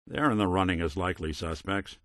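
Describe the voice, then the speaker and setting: A man's voice: sits low, brisk, a bit bright, dry recording, clean studio sound. A man playing a world-weary android private detective in a video game, tough but kind, with wry, clipped hardboiled phrasing. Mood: businesslike, probing. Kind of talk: noir detective game dialogue.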